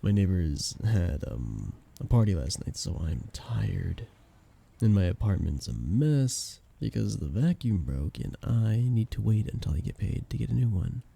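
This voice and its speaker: A man acting as an affectionate young male best friend in an intimate ASMR roleplay. His voice is low and very dark and soft, with a gravelly edge. He chats casually and teases in an expressive, playful way. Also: a little slow, full character voice. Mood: tired, venting. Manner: teasing, expressive, giggly.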